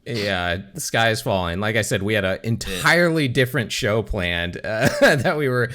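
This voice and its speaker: A man's voice: fairly low pitch, lively intonation, normal speed, pushed and bright. A young man hosting a casual gaming podcast, joking and laughing often with his co-hosts. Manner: joking, laughs often, goofy theatrical openings. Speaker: podcast host.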